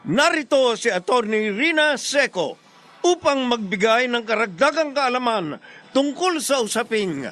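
High, animated male radio announcer. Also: measured pace. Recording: faint music behind voice. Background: faint music.

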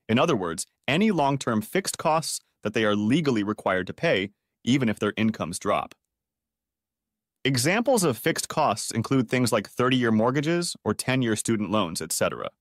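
A man presenting a scripted finance explainer in a didactic, measured manner with rhetorical questions. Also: medium pitch, no echo, studio quality.

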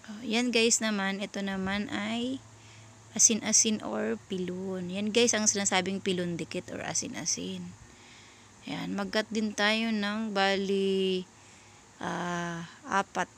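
A Filipino woman talks viewers through sewing steps as a dressmaker. Her delivery is slow and halting, casual, with searches for the right word. Her voice sits at a medium pitch, over faint background noise in a homemade recording. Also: gravelly. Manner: halting, searching for words, often addressing viewers. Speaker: dressmaker giving a sewing tutorial. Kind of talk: casual step-by-step voice-over tutorial.

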